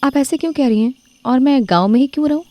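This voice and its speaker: Stubborn young daughter-in-law, defiant and touchy, voiced by a woman; raised pitch, dry close sound. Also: fast talker, mellow, dry recording, close to an everyday voice. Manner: defiant, indignant. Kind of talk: cartoon story voice acting.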